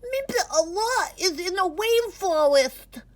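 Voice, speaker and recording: A woman voicing a small, cheerful, inquisitive duck companion in a children's storybook, with a squeaky voice. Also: expressive, takes it slowly, exaggerated voice. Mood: hopeful.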